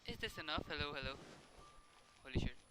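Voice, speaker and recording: Fast-talking Indian game streamer, a woman. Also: low-pitched, animated, low-quality recording. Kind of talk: casual livestream chat, mixing Hindi and English. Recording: loud game music under the voice.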